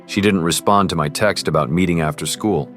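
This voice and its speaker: A man's voice: sits low, fairly quick, fairly bright tone. A man playing an earnest, privileged teenage boy who confides and narrates in an amateur romance drama. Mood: troubled. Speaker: character and first-person narrator. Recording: music behind the voice.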